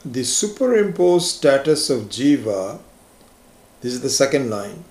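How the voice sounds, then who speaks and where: A man whose first language is Hindi teaches a scripture class, working through each idea slowly and patiently with homely examples. His voice sits at an ordinary middle pitch, and it rings in a roomy, echoing space. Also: sing-song, a little mellow. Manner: patient, explains step by step with everyday examples. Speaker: Vedanta teacher. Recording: echoey room.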